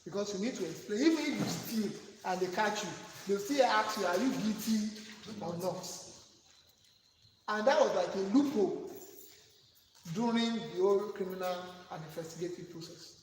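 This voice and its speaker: A man with a Nigerian accent and a high-pitched voice teaches a class in a didactic way, repeating his key points and putting questions to his listeners. He is recorded far from the microphone in a very reverberant room. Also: moderate pace. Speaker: CCTV installation instructor. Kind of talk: classroom lecture with real-life examples. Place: bare indoor classroom with table and window. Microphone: camera or phone standing a few metres away. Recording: echoey, noisy room, far from mic.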